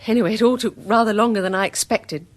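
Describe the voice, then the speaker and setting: Actress as a high, husky, genteel British housewife. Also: female voice, fast, close to an everyday voice. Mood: puzzled, explaining. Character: polite, chatty married newcomer woman, eager and puzzled. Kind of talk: radio drama acting.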